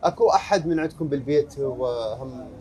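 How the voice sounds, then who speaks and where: Fast-talking Iraqi man interviewing outdoors. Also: mid-range voice, expressive, mellow. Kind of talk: casual street interview. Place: outdoors on a waterfront promenade. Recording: faint outdoor background noise.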